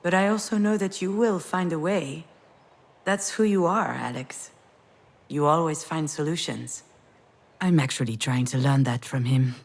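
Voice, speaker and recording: A woman's voice: low voice, measured pace. An actress playing a vulnerable, wry, grieving woman writer in a narrative video game, reflective and confiding in a naturalistic style. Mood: tender, reflective.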